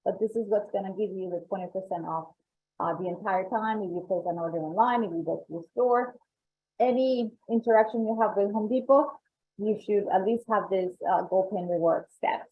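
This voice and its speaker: A woman's voice: mid-range voice, fairly quick. A woman with a Colombian accent presenting member benefits on a webinar, upbeat and salesy, repeating points for emphasis. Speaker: membership coordinator. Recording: tinny phone-like call audio.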